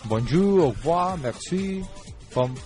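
Male radio host, playful banter, somewhat high pitch, background music. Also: lively intonation, slow pace, a little mellow. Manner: bantering, playful, laughs often. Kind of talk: casual radio chat.

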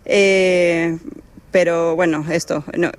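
A woman's voice: fairly low pitch, fairly even intonation, slow pace, clear, resonant tone. A woman from Argentina with an Argentine Spanish accent, a lifeguard coordinator answering interview questions hesitantly, with many fillers and restarts.

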